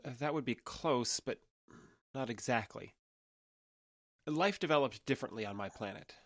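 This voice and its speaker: A male actor plays an alien who passes for a young American man in an audio drama. He is earnest and guarded, choosing his words with some hesitation and explaining things matter-of-factly. His middle-pitched voice sits in a very dry recording. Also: lively intonation, normal speed, dry recording, lightly acted. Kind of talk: audio drama acting, naturalistic dialogue.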